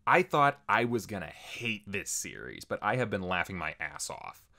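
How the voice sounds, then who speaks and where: A man's voice: low voice, very animated, moderate pace, creaky, bright, forceful tone. A man hosting a casual scripted channel update, talking informally to viewers with wry asides. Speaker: channel host and video creator.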